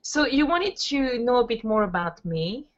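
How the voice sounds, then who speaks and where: A woman's voice: a little high, sing-song, moderate pace. A woman in her thirties from France, chatting in a casual interview as a guest and telling stories with frequent fillers. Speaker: interview guest, network marketer. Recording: dull lo-fi audio.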